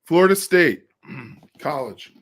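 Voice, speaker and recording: Deep-voiced man chatting about basketball. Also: takes it slowly, gravelly. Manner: relaxed, curious, asks lots of questions. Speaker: podcast co-host. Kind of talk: casual sports podcast chat.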